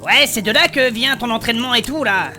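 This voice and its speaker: A woman playing a cheeky, excitable member of an adventuring band in a fantasy audio drama, colloquial and exclamatory. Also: on the high side, a little fast, faint music behind. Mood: curious, friendly. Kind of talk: French fantasy audio fiction acting.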